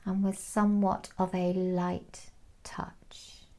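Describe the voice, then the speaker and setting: A woman leading a guided meditation in a calm, soothing, unhurried manner, with soft phrase endings and long pauses. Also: fairly low pitch. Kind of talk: guided meditation, gentle instruction.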